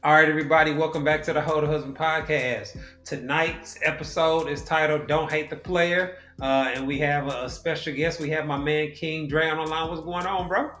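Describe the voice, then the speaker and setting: A man's voice: on the high side, moderate pace, few pauses. Roomy-sounding male podcast host. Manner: loose, relaxed talk with many fillers and restarts. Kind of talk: casual podcast chat. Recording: roomy echo with faint music.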